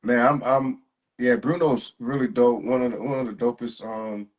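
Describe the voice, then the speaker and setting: A man hosting a casual live interview as a jiu-jitsu instructor, talking in a relaxed, slangy way and laughing often. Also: medium pitch, moderate pace.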